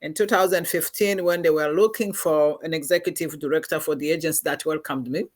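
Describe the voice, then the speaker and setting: A middle-aged woman from Rwanda with a Rwandan accent, telling her story as a podcast guest in an earnest, measured way with many pauses and fillers. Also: low-pitched, steady tone. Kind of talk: personal testimony in a podcast interview.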